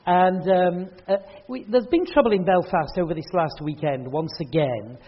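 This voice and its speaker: High-voiced guy hosting a church night, joking with the crowd, kinda dull lo-fi sound. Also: moderate pace, breathy edge. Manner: chatty, joking with the audience. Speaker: event host and speaker. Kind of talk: casual chat at a church event. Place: hall with an audience.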